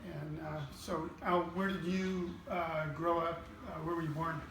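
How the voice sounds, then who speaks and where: A man's voice: a little high, flat delivery, unhurried. A man from California, interviewing in a laid-back way, with lots of fillers. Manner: laid-back, filler-heavy surfer talk. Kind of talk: casual interview. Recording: echoey, crowd noise behind.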